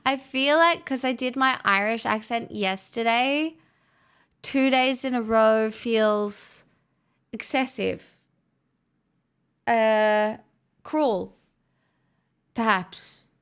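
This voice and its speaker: A woman's voice: a little high, very slow, long pauses, a bit bright, smooth. A woman hosting a livestream, chatting casually with viewers in a playful, teasing way. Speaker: livestream host.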